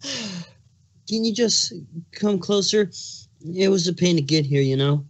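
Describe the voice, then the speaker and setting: Man playing a cartoon changeling, male, heavily pregnant, very high voice, touchy, snappish, sarcastic. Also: lively intonation, slow pace, heavily acted. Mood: weary, exasperated. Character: irritable, moody pregnant male changeling creature with big appetite. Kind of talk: cartoon fan fiction dramatic reading.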